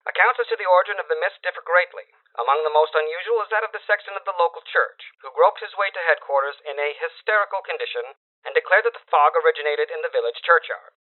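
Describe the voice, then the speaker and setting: A man's voice: fairly high pitch, fast. A man playing a formal wire-service voice reading ominous news dispatches like an old bulletin, through a radio filter. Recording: tinny narrow-band old radio sound.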